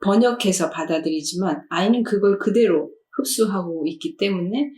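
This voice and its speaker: A woman professor explaining child development in a calm, measured way. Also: mid-range voice, echoey room. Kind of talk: expert explanation in a discussion.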